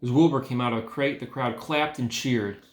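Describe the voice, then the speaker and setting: A man's voice: mid-range voice, steady tone, moderate pace. A man, an educator, talking casually to students and narrating a children's storybook aloud. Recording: echoey room.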